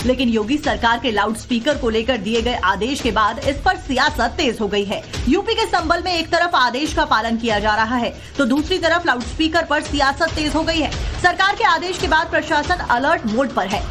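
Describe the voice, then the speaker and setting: High-voiced woman reading news voice-over. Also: animated, moderate pace, hardly any pauses, a bit bright. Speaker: news reporter. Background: background music.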